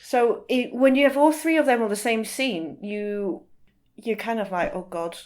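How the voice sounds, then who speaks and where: A woman's voice: on the high side, a little mellow. Thoughtful woman explaining as an interview guest. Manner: thoughtful, explanatory, halting phrasing with repeated words. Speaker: guest, literary translator.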